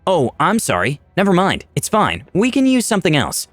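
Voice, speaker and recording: An earnest male adventurer played by a man in a narrated fantasy tale, pitched up and animated. He speaks politely and coaxingly, pleading like someone thinking on his feet. The sound is dry. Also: moderate pace, no echo, faint music behind, full character voice. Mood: placating.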